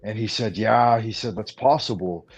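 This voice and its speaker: A man's voice: fairly low pitch, moderate pace, breathy edge, highs cut off. A man hosting a casual spiritual talk show, rambling and stumbling over his words as he tells stories. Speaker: host.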